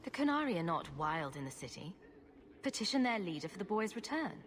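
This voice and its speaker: A woman's voice: mid-range voice, very animated, brisk, natural voice. A woman acting a blunt, composed adventurer in a fantasy video game, with a direct, firm delivery. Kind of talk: video game cutscene dialogue. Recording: clear background ambient noise.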